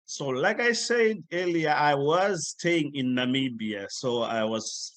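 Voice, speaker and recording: A man from Zimbabwe, a nurse, answering interview questions thoughtfully with hesitant pauses. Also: on the high side, lively intonation, fairly bright tone, no echo.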